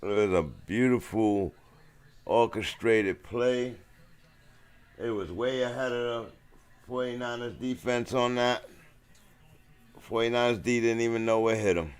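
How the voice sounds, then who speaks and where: A man's voice: medium pitch, measured pace, pauses often, clean tone. A man doing live American football play-by-play commentary in short, colloquial phrases. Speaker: play-by-play sports host.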